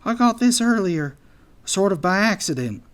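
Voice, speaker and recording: A man's voice: high voice, big pitch swings, normal speed, pitched above the performer's own voice. A man voicing a nervous, fretting male anteater in a children's fantasy audiobook, hesitant and worried. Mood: worried, sheepish.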